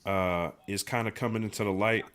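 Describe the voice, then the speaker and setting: A man's voice: fairly low pitch, steady tone, a little fast, fairly bright tone. A man hosting a live stream in casual chat, nasal from a sinus infection, laid-back and slangy, with lots of fillers and restarts. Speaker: livestream host.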